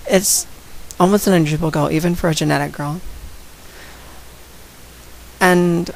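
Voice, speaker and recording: A woman with a slight head cold and a raspy voice, talking casually and outspokenly straight to her viewers in a vlog. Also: fairly low pitch, steady tone, normal speed, poor audio. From San Antonio, Texas. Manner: outspoken, direct, addresses viewers. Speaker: vlogger. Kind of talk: casual talk to camera.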